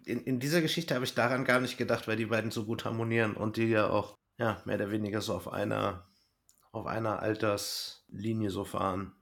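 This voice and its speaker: A man's voice: on the low side, steady tone, brisk, gravelly, fairly bright tone. A man hosting a casual podcast, reviewing like an enthusiastic fan. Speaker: podcast host discussing an audio drama.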